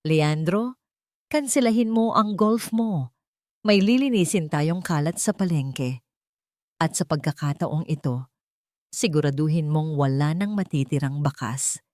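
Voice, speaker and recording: A woman's voice: low voice, measured pace, long pauses, a little mellow, dry recording, clean studio sound. A woman voicing a scheming, cruel rich lady who gives menacing orders in an audio story. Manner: menacing, commanding.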